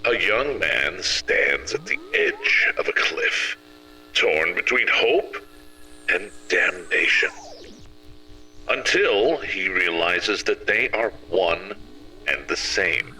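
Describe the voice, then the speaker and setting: Man as an ominous, piercingly bright low announcer. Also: big pitch swings, recorded from a distance, full character voice, rough recording. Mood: portentous. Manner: portentous, deliberate. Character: ominous male voice of a sinister transmission. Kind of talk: dramatic trailer-like promo narration.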